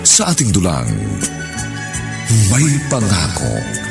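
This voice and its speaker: A man's voice: sits low, huge pitch swings, slow, gravelly. A man announcing a Filipino radio drama in a dramatic, scripted style. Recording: loud music over the voice. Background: loud music.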